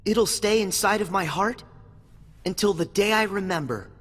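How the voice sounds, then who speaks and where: A man's voice: sits high, normal speed, a bit bright, slightly rough. A man playing an earnest, kindhearted teenage boy hero in a video game cutscene, sincere and resolute. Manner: sincere, resolute, youthful delivery. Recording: music behind the voice.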